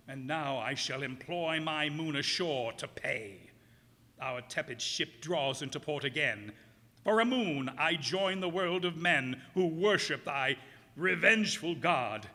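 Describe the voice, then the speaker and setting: A bitter, centuries-old cursed captain acted by a man, with a very sharp, forceful voice. He declaims slowly and grandly, like old radio melodrama, with great swings of pitch. The hall echoes around him. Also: full character voice. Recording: echoey hall stage performance.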